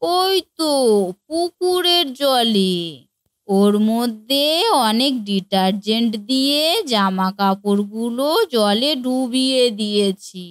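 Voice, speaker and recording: A high-voiced, slow-talking young wife played by a woman in a comic cartoon. She sounds naive, whiny and innocently stubborn, as if nothing could be her fault. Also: no room sound, clean studio sound. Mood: defensive. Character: dim-witted, innocently stubborn young Bengali daughter-in-law.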